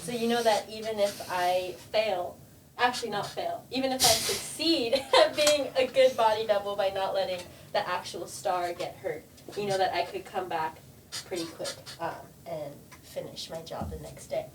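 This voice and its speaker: A young woman joking through an improvised job-interview game on a podcast, often laughing as she talks. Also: normal speed. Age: young adult. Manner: giggly, laughs through her pitch. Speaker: podcast guest. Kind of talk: casual improvised game chat. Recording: distant mic with loud background noise.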